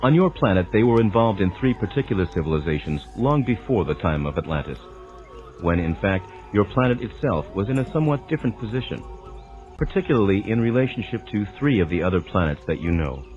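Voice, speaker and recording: A man delivering a dramatized scripted narration of a spiritual text in a measured, formal, lecturing manner. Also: on the low side, very animated, a little mellow. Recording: dull lo-fi sound with music underneath. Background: background music.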